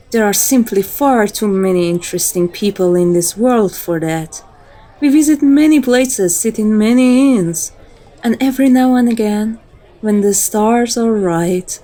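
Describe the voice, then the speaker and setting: A woman acts out a female vampire, seductive and commanding yet devoted. She speaks very unhurriedly in a soft-edged, dark timbre, with the intimate, poetic delivery of an ASMR roleplay. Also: medium pitch, a little slow, natural voice. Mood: proud, devoted.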